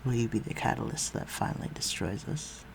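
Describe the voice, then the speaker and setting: A man playing a refined, protective vampire lord in an intimate audio roleplay, speaking formally and with measured command. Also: mid-range voice, background noise. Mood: suspicious, teasing. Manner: formal, measured, archaic phrasing, dropping to breathy murmurs.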